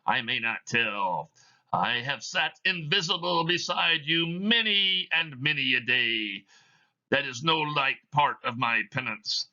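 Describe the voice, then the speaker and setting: A man voicing a tormented, ominous ghost of a dead businessman in solemn, drawn-out, wailing declamation for a stage play reading. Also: medium pitch, animated, sharp tone, muffled recording, pitched above the performer's own voice, heavily acted. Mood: solemn warning.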